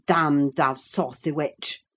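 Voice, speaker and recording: A woman's voice: deep voice, steady tone, slow pace. A woman voicing a coarse, surly rustic lad in an audiobook, curt and growling. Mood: angry defiance. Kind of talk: audiobook character voice.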